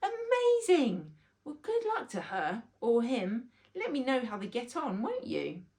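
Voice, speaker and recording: A woman performs a nine-year-old boy from a children's story. The boy is excitable and anxious, speaking in a raised pitch that swoops about freely. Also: measured pace, heavily acted. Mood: doubtful, dismissive.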